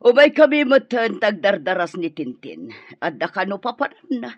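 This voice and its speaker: Bossy middle-aged mother, husky, voice jumps around, radio drama. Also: mid-range voice, sing-song, moderate pace, no room sound. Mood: brisk. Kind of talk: Ilocano radio drama acting. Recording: dull, lo-fi dry studio audio.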